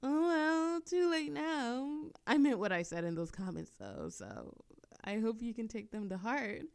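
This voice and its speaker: A woman acting a giddy, obsessive young kidnapper in an ASMR roleplay, doting and awkward, with halting, giggly rambling and cooing reassurance. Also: a little high, big pitch swings, creaky, a little mellow, clear, resonant tone, heavily acted. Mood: smitten, giggly. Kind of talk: ASMR roleplay audio drama.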